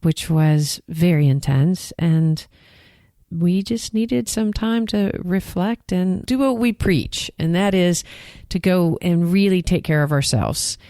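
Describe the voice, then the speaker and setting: Texan woman, low voice, dry close mic, earnest podcast co-host who laughs easily. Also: slow pace, warm tone, no room sound. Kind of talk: casual conversational podcast chat.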